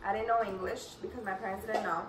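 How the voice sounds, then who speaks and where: A woman's voice: medium pitch, steady tone, fast talker. Brooklyn-raised woman, Colombian-tinged, roomy sound. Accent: New York with Colombian Spanish touches. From New York, USA. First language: Spanish. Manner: chatty, rambling with fillers and restarts. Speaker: vlogging mother. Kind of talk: casual family Q&A. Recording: roomy, distant, noisy home recording.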